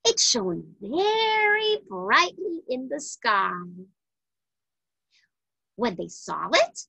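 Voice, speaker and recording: A woman reading a story aloud to children with deliberate, dramatic phrasing. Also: high-pitched, sing-song, creaky, sharp tone, slightly rough, no room sound, poor audio. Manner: deliberate, dramatic phrasing with questions to young listeners. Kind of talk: expressive scripted read-aloud for children.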